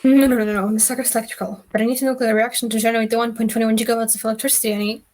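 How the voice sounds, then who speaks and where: A woman playing an excitable, eccentric old male inventor in a theatrical script read. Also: a little high, very fast, lightly acted. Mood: agitated. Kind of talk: table read of a film script.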